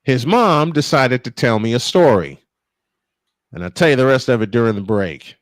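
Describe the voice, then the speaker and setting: A man calling live baseball play-by-play and telling stories in an animated, emphatic way, stretching phrases for drama. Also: medium pitch, moderate pace, dry recording, studio quality. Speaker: play-by-play sportscaster. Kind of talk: live baseball commentary mixed with animated storytelling.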